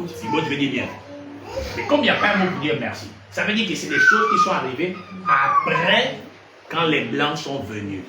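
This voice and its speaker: A man from Gabon preaching in to a congregation, keeps firing questions at the listeners. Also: a little high. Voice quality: lively, questioning delivery that probes the audience. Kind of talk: sermon teaching to a congregation. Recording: echoey room from far off, noisy.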